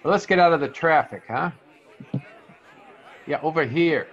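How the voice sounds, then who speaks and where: Shifty young American bellhop male actor, higher-pitched, slangy and hesitant hustler, vintage radio play, slightly dull sound. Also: moderate pace, close to an everyday voice. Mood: sly, chummy. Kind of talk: old-time radio detective drama acting.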